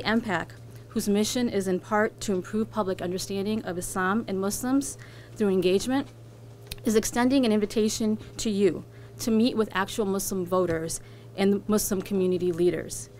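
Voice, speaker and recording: A woman delivering a prepared statement as a spokesperson, in a formal, measured, rhetorical way. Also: medium pitch, darkish tone, a little hiss or hum. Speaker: advocacy group communications director.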